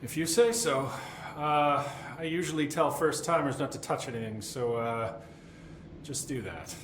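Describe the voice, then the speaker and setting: A man playing a deadpan odd old-timer keeper. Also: medium pitch, measured pace, natural voice. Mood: dry. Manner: dry, rambling, awkward fillers. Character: fussy middle-aged male warehouse keeper, deadpan and odd. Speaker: keeper of a collection of strange items. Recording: echoey game cutscene with faint hiss.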